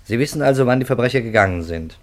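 Male police interrogator acted by a man, 1950s radio play: insistent, sardonic, highly animated intonation. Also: fast talker, close to an everyday voice. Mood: pressing. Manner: pressing, sardonic, rhetorical questioning. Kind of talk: 1950s German radio drama acting.

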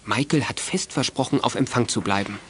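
A man plays the composed narrator of a children's audio drama. His voice sits at a middle pitch. The delivery is scripted storytelling. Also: fairly quick, slight background noise, natural voice. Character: composed male storyteller of a youth detective tale.

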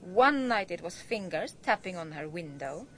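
An actress playing a gossipy, spiteful archaeologist's wife in a radio drama, insinuating and emphatic. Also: female voice, fairly low pitch, expressive, normal speed, a little hiss or hum, close to an everyday voice. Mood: spiteful gossip.

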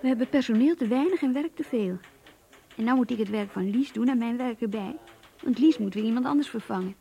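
Radio drama diarist: idealistic young woman, troubled and searching, mellow tone, fairly high voice. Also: a little fast, creaky. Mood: troubled, lonely. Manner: introspective, pleading, searching. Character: earnest, troubled idealistic young female office worker, confiding. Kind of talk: radio play diary monologue.

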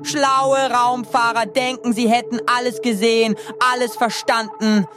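A gleeful, mocking menace from a radio play. The voice is very high and theatrical, taunting with exaggerated relish over clear music. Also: male voice, flat delivery, unhurried. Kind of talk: theatrical audio drama acting. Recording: music behind the voice.

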